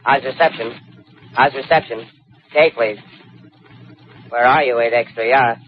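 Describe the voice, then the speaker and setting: An actor playing an earnest amateur radio operator in a 1930s radio drama, making level, repetitive calls through a radio filter. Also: male voice, medium pitch, measured pace. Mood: earnest, searching. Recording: dull, faintly noisy 1930s radio recording.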